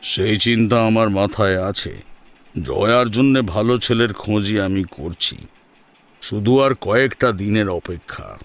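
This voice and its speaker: A man's voice: fairly low pitch, measured pace. A man acting a proud, unbending middle-aged father in an audio drama, firm and haughty. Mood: assured.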